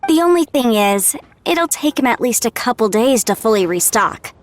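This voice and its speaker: Woman doing high bouncy young anime game girl, friendly n chatty, easygoing, dry studio sound. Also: animated, normal speed, dry recording, natural voice. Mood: upbeat. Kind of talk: anime-style video game voice acting.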